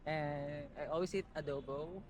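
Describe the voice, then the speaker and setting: A man's voice: a little high, fairly even intonation, moderate pace, background noise. A young man in his twenties with a Filipino accent, joking around in casual chat with friends at a cafe. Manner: playful, joking banter mixing Tagalog and English. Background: background music.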